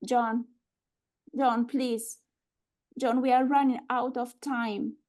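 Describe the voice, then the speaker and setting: A woman acting a frantic, sharp-tongued woman in a live screenplay table read, halting and repeating herself under stress. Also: fairly high pitch, little pitch movement. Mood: pleading, urgent.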